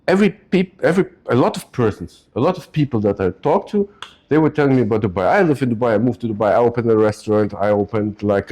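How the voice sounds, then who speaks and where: A Ukrainian-born man, an investor and lawyer, answering interview questions in an office with a halting delivery full of restarts. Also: medium pitch, dark tone. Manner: halting, many restarts and fillers. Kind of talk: casual podcast interview.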